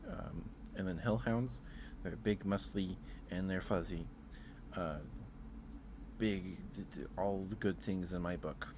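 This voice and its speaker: A man streaming casual ASMR chat, talking haltingly, often repeating words and trailing off. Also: low voice, background noise. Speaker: streamer hosting a ranking.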